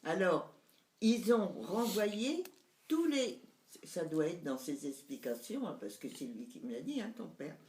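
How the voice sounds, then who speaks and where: A woman's voice: on the low side, sing-song, unhurried, a little mellow, reverberant. A woman in her nineties, recounting family memories to her son in casual conversation, hesitant and searching for words. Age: 90s. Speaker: mother recalling family memories.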